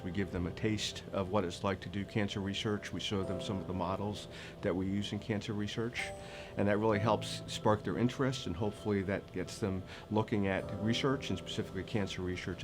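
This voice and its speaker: A man's voice: low voice, steady tone, brisk. A man who directs a research training program, explaining it in a promotional style. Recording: music bed behind the voice. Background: music.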